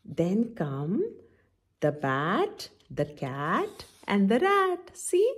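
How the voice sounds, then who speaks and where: A middle-aged woman reads a picture book to small children at an unhurried pace. Her slightly husky voice swings widely in pitch. She explains things simply and keeps putting questions to the listeners. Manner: expressive, instructive, addresses children with frequent questions. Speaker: children's story-time host. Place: indoor room at home, by a window.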